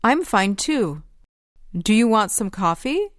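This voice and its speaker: A woman reads a friendly speaker in a language-course dialogue. Her voice sits at a middle pitch and the delivery is careful and even. The recording is dry, with no room sound. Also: slow, dry recording. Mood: plain friendly. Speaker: dialogue reader in an English lesson. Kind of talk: slow scripted textbook dialogue.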